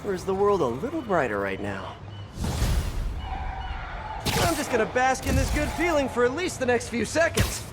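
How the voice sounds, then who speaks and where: A man's voice: extremely high pitch, sing-song, normal speed, pauses often. An American man playing an eager, wisecracking, good-hearted young superhero in a video game, boyish and rambling in his delivery. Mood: chatty, joking. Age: young adult. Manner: boyish, joking, rambling to himself. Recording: voice under loud game music.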